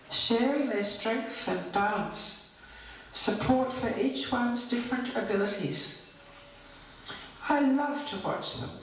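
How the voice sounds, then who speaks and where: An older woman reading her own poem as a scripted recital, in measured, deliberate phrases with pauses. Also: fairly high pitch. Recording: distant, very echoey hall with background noise.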